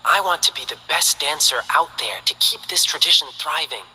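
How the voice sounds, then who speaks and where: A man voicing a cheerful, earnest young lion dancer who talks in a bright, friendly, enthusiastic way in game character voice lines. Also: fairly high pitch, lively intonation, moderate pace, husky, big-room sound, exaggerated voice, low-quality recording. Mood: upbeat.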